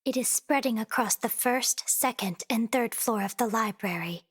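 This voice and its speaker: A woman's voice: on the high side, husky. An actress playing a composed, polite, analytical young woman in a video game, speaking formally. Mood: composed, curious. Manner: formal, measured.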